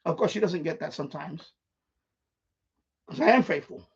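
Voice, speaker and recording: High-pitched husky New man. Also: moderate pace. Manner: blunt, profane, repeats himself, animated. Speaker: live stream host. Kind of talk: casual rambling storytelling on a live stream. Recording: dull, lo-fi livestream audio.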